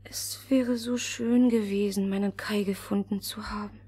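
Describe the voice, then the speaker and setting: A woman's voice: medium pitch, fairly even intonation, moderate pace, dark tone, husky, natural voice. An actress playing a brave, earnest little girl in a fairy-tale audio drama. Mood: earnest, sad.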